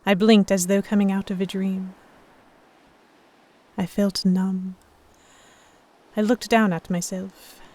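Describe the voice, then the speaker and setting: A woman's voice: medium pitch, level tone, slow, pauses often, a little mellow. A woman playing an earnest, emotive noblewoman heroine who narrates an audio drama in the first person. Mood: tense, reflective. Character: delicate young noblewoman, vexed, earnest, stubborn romantic heroine.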